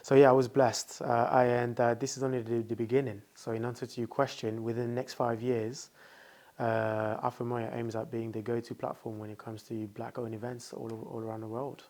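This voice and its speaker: A man's voice: medium pitch, level tone, a little fast, a little mellow. Thoughtful man talking with many fillers. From France. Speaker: founder, guest. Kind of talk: casual interview talk.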